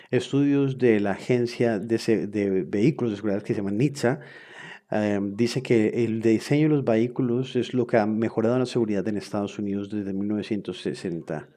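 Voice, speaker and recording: A man's voice: fairly low pitch, dark tone. A man interpreting a webinar lecture into another language in real time, hesitant, with frequent fillers and self-corrections.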